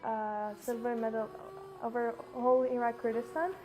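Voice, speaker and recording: A woman introduces herself as a volunteer in a soft voice. Her voice sits high but stays flat, with little rise and fall. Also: normal speed, low-quality recording. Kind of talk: self-introduction.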